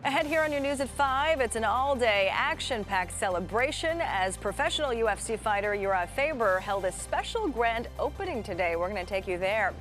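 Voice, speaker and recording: A woman's voice: a little high, lively intonation, brisk, hardly any pauses, fairly bright tone, faint music behind. A woman with an American accent reading scripted television news as an anchor. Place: TV studio.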